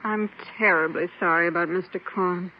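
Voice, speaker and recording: A woman's voice: lowish voice, steady tone, moderate pace, lightly acted. An actress playing a polite, talkative, self-assured woman dealer in a 1940s radio drama, chatty and composed. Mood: chatty, concerned. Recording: muffled, hissy 1940s radio recording.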